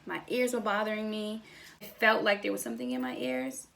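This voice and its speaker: A woman's voice: medium pitch, lively intonation, a little fast, creaky, fairly bright tone. A young woman telling a personal story in a casual vlog style, acting out bits of conversation as she goes. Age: young adult. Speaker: vlogger.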